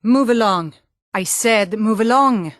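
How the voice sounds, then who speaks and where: A woman's voice: mid-range voice, takes it slowly, dry recording, studio quality, close to an everyday voice. A woman playing a hard, corrupt city guard in a fantasy game, speaking in a curt, threatening, authoritative way. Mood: menacing, scornful. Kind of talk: fantasy video game dialogue.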